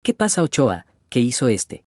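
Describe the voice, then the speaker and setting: An actor with an Argentine accent playing a blunt, puzzled police officer in a radio drama, with a husky voice. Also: male voice, mid-range voice, big pitch swings, normal speed, a little mellow, dry recording, clean studio sound, lightly acted. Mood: startled.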